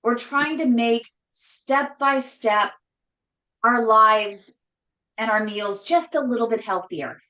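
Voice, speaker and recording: A woman hosting a casual live cooking show from her home kitchen, talking loudly and emphatically with a voice that carries. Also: on the high side, takes it slowly, roomy sound, poor audio. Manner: loud voice that carries, chatty and emphatic. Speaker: host cooking on a live stream.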